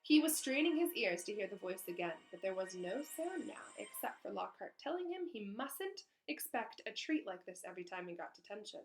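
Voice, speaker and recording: A woman's voice: medium pitch, very animated, moderate pace, few pauses, slight background noise, low-quality recording. A woman reading a children's storybook aloud expressively, in the way of a teacher.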